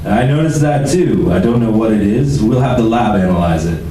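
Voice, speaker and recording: A man plays a world-weary homicide lieutenant in a radio play, grumbling and sardonic. The voice sits back from the mic in a very echoey room, with clear noise behind it. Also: fairly low pitch, lively intonation, normal speed, mellow, close to an everyday voice. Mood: businesslike. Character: grumpy, weary male police homicide lieutenant. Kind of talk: old-time radio detective drama. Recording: roomy, distant microphone, noticeable background noise.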